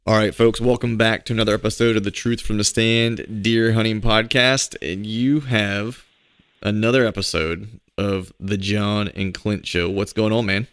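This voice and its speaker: Hoarse, gravelly low-voiced man hosting. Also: flat delivery, normal speed, fairly bright tone, dry recording. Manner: laid-back, rambling storyteller with filler words. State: hoarse from a cold. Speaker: podcast host. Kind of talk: casual podcast chat.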